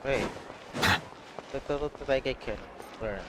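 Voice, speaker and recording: A man's voice: mid-range voice, slow pace, heavy background noise. A man streaming a game, muttering short, casual asides and reactions. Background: game music.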